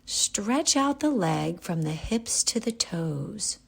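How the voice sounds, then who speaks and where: A woman guiding a yoga nidra relaxation, giving calm, gentle, unhurried instructions with pauses. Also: medium pitch, vocal fry, husky. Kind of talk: guided relaxation meditation.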